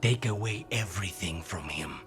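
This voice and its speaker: A man's voice: low-pitched, animated, moderate pace. A man playing a grim, betrayed assassin in a video game, speaking tersely and intensely over music. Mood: cold, vengeful. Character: betrayed male assassin, grim, vengeful, controlled.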